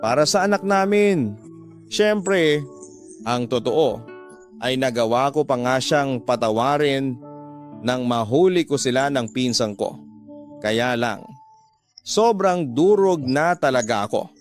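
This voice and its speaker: A man's voice: mid-range voice, lively intonation, takes it slowly, long pauses. Man acting wounded betrayed husband over music. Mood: wounded, pleading. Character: betrayed husband, wounded, earnest, pleading his side. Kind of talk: radio drama dialogue. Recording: music bed behind the voice.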